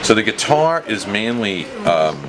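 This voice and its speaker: Low voiced guy in his 50s telling stories casually in a small shop, noisy handheld camera sound. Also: big pitch swings, measured pace. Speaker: guitar shop dealer. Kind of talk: casual explanatory chat. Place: small cluttered guitar shop, indoors. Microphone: camera mic a short distance away.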